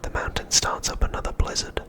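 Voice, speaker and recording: A man's voice: a little fast, sharp tone. Whispering man, ASMR narration. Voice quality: unvoiced, breathy whisper. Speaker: ASMR host.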